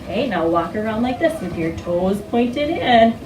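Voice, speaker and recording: A woman's voice: fairly high pitch, lively intonation, normal speed. Woman teacher in an echoey, noisy classroom. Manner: simple instructional phrasing with frequent questions and prompts. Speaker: early-childhood teacher. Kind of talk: interactive read-aloud lesson for young children. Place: indoor classroom with carpet. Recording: echoey, noisy room, distant microphone.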